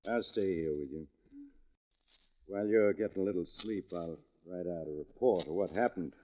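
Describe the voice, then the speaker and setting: An actor playing a small-town sheriff in a 1940s radio drama. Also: male voice, low voice, moderate pace, lightly acted. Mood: soothing, weary. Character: small-town sheriff, protective, then gasping and mortally wounded. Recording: dull vintage 1940s radio recording.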